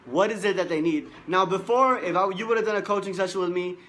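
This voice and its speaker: A man's voice: high-pitched, quick pace, fairly bright tone. A man in his twenties, a life coach giving a boastful, emphatic motivational monologue and repeating himself for stress. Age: 20s.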